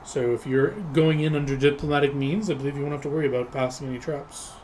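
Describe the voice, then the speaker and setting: A man playing a formal, coin-minded freelance spy in a tabletop roleplaying game, measured and a little hesitant. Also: medium pitch, fairly even intonation, a little mellow, slight background noise, lightly acted, low-quality recording. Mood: formal, reporting.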